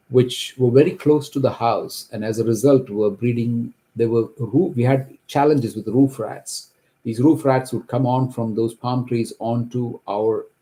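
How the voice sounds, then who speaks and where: A middle-aged man from Kerala, India, who grew up speaking Malayalam, gives an earnest, halting account of his own story from his home office. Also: mid-range voice, dark tone, low-quality recording. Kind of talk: personal testimony to camera.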